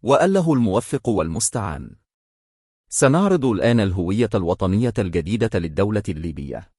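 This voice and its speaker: A man's voice: lowish voice, very animated, fast talker, mellow, slightly rough, no echo, clean studio sound. A man reading a scripted emergency announcement as a broadcast announcer, in a formal, solemn manner.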